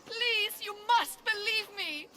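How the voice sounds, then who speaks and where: A woman's voice: extremely high pitch, slow pace, sharp tone, full character voice. An actress playing a pious, imploring ancient Greek mother in a video game, earnest in manner. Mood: desperate. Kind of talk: video game dialogue acting.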